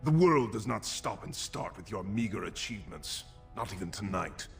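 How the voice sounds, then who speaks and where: An actor playing a haughty dwarf prince and scornful elder brother in a fantasy video game, pompous and dismissive. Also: male voice, lowish voice, animated, moderate pace, creaky, soft background music.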